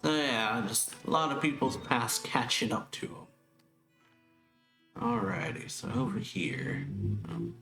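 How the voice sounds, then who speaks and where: A man's voice: mid-range voice, lively intonation, unhurried, vocal fry, long pauses, sharp tone. A man giving casual let's play commentary in a laid-back way, with short muttered reactions. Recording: game music behind the voice.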